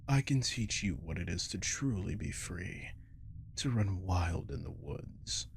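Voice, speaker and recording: Casting: male actor as a manipulative captive werewolf for ASMR drama, deep and unhurried, coaxing and possessive, with menace under the tease. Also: lively intonation, vocal fry, slight background noise, full character voice. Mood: menacing, persuasive. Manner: slow, coaxing, teasing, often dropping to whisper. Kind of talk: ASMR audio roleplay acting. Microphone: close microphone.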